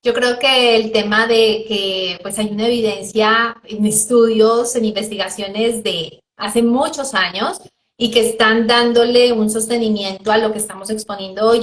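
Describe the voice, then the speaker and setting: A Colombian-accented woman host speaks with a fairly high voice. She talks in a measured, reflective way, agreeing with her guest. The sound is roomy and echoey. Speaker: interviewer, host. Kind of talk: interview conversation. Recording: roomy echo.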